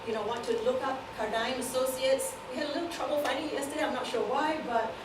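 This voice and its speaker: A woman's voice: high-pitched, steady tone, quick pace. A woman speaking informally to a gathering in a conversational, rambling way. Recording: roomy, noisy hall, distant mic.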